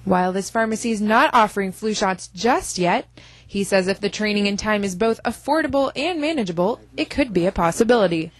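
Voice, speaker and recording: A young adult woman reporter and anchor reading scripted news in a crisp, measured way. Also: mid-range voice. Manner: crisp, measured newsreader delivery.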